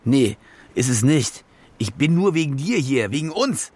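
An actor playing the harsh, urgent inner voice of a trapped reporter in a radio drama, terse and scolding. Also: male voice, mid-range voice, big pitch swings, unhurried, a little mellow, breathy edge. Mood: accusing.

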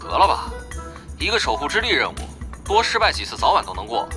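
A man's voice: fairly high pitch, big pitch swings, fast, over music. A man playing a blunt, commanding coordinator of undercover guild spies in an audio drama, businesslike in manner. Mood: dismissive.

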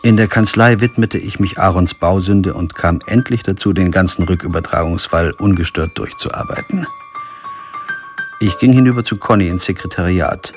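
Low-voiced, dry male lawyer narrator played by an actor. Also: normal speed, soft background music, natural voice. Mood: matter-of-fact. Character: wry, pragmatic lawyer narrating his own crime story. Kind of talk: scripted first-person narration and radio drama dialogue.

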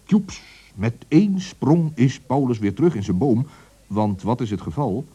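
Lively man narrating a children's story. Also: mid-range voice, moderate pace, noisy background.

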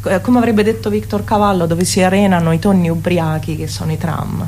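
A woman with a somewhat low voice chats about her own stage show as an invited singer. Her delivery is informal, and noticeable humming noise sits behind the speech. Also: fairly even intonation, a little fast, warm tone. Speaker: singer, interview guest. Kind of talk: informal spoken presentation of own concert. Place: radio studio. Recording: clear background noise and hum.

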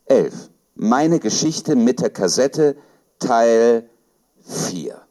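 A man's voice: medium pitch, lively intonation, extremely slow, mellow. A man reading out scripted chapter titles as an announcer.

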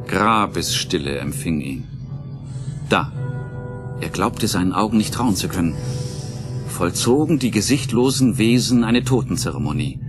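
A man serves as narrator of a radio play, reading scripted dramatic prose. His pitch sits on the low side. Music runs clearly behind his storytelling.

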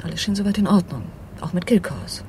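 A woman's voice: lowish voice, brisk, mellow, slight background noise, lightly acted. An actress playing a seductive, cunning female swindler in a radio crime drama, coolly flirtatious and dropping into murmurs. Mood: confiding, coolly casual. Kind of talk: 1980s German radio crime drama acting.

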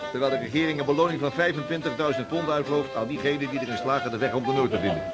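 An actor playing a businesslike recruiter for a sea expedition in a historical radio drama, speaking formally. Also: male voice, medium pitch, rapid-fire, over loud music, lightly acted.

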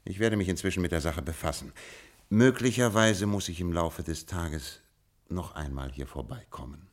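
A man playing a confident, sharp-witted, coolly amused detective in a German radio play, with a probing, commanding manner. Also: low voice, normal speed, close to an everyday voice. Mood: calm, businesslike. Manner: probing, self-assured, commanding.

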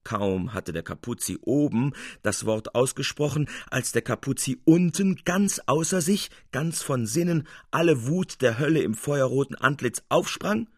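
A man narrating a scripted literary tale for radio as an expressive, theatrical storyteller. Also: medium pitch, moderate pace, few pauses, breathy edge, no room sound, clean studio sound.

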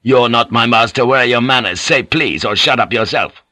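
A man's voice: mid-range voice, normal speed, pushed and bright, slightly rough, dry recording, studio quality, pitched above the performer's own voice. Man acting a snarling young ronin swordsman. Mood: insolent anger. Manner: snarling, insolent.

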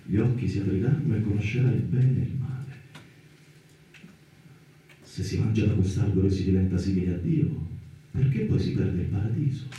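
A man acting a brooding thinker in a radio-theatre monologue, with deliberate, rhetorical phrasing. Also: lowish voice, dark tone, lo-fi sound, lightly acted. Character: brooding male thinker questioning scripture and divine punishment. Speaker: actor in a radio theatre piece. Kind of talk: dramatic reflective monologue. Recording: very echoey hall, distant voice. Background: faint music.

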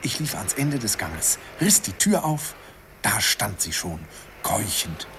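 A faintly noisy old radio recording carries a wistful man remembering young love. His voice is fairly low and very animated, telling the story lyrically in the first person. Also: slow pace, full character voice. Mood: excited, urgent. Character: wistful grown man recalling his youthful summer romance. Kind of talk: dramatic first-person radio play narration.

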